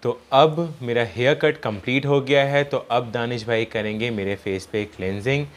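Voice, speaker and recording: A man's voice: mid-range voice, steady tone, normal speed. A young man hosting a casual vlog, chatting to his viewers from a barber salon and interviewing the barber. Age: young adult. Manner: friendly, chatty, addresses viewers directly. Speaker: vlogger and host. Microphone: phone camera at arm's length.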